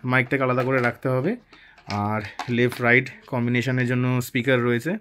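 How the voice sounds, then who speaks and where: A man's voice: medium pitch, completely flat delivery, brisk, a bit bright, slight background noise. Male vlogger, mid-range voice, informal hands-on installation walkthrough with English words mixed in. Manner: mixes English words into explanations. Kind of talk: casual hands-on installation tutorial.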